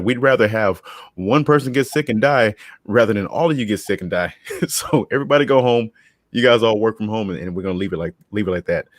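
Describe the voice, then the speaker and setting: A man's voice: lowish voice, dry recording, studio quality. A man co-hosting a casual chat, laid-back and laughing often as he tells stories. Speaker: podcast co-host.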